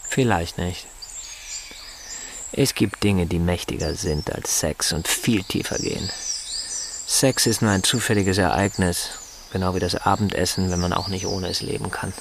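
Bitter, lecturing husband, low voice. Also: male voice, steady tone, moderate pace, vocal fry, background noise. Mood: cool, resigned. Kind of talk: German radio drama acting.

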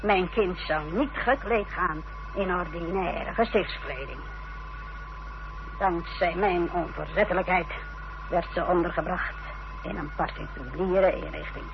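A proud middle-aged mother in an old radio play. She speaks slowly and haughtily, with theatrical deliberation and a creak in the voice. The recording is dull, with some background noise. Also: lowish voice. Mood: haughty, self-righteous. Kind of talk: radio drama acting.

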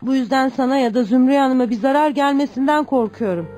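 Radio drama role: humble, proud, worried mother, high soft-edged mellow voice, cautious delivery, played by an actress. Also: fast, faint music behind, close to an everyday voice. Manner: cautious, earnest.

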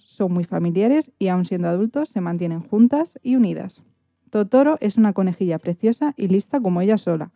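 A woman's voice: medium pitch, dry recording. A woman radio presenter reading scripted storytelling narration. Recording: dry, slightly dull recording.

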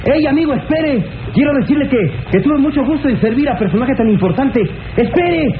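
An actor playing a humble, star-struck driver, exclamatory and deferential, in a 1960s radio drama. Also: male voice, very high voice, expressive, brisk. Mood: excited. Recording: tinny phone-like old radio, heavy noise.

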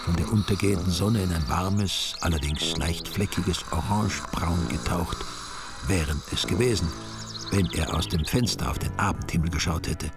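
An actor playing a wry, deadpan storyteller who narrates a radio drama with an Austrian accent. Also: male voice, deep voice, normal speed, loud music behind the voice, close to an everyday voice. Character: wry, ironic male storyteller.

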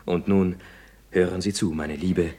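A man's voice: deep voice, steady tone, a little slow, mellow, slight background noise. A man playing a glib, teasing, penniless young artist in a radio play, theatrical, wheedling and mock-solemn. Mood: coaxing, sentimental. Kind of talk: German radio play acting.

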